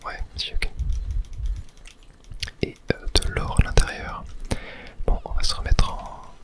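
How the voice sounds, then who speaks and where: Male gaming host, soothing unhurried ASMR delivery, whispered throughout. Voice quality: breathy unvoiced whisper. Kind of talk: whispered ASMR gameplay commentary.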